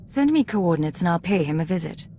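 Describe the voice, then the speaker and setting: An actress playing a guarded, no-nonsense secret agent in a video game, speaking directly and briefly. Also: female voice, lowish voice, sing-song, quick pace, mellow, slight background noise. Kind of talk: acted video game dialogue.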